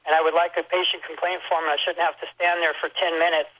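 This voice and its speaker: Higher-pitched man on a muffled phone line. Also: little pitch movement, quick pace. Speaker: hospital patient reporting complaints on a conference call. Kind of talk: informal first-hand account. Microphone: telephone. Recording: muffled lo-fi telephone conference line.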